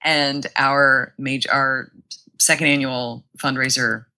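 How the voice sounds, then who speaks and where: A woman's voice: rumbling low, fairly even intonation, takes it slowly, pushed and bright, low-quality recording. A woman speaking casually and effusively as an interview guest, with plenty of enthusiasm for her work. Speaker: guest, museum publicity director.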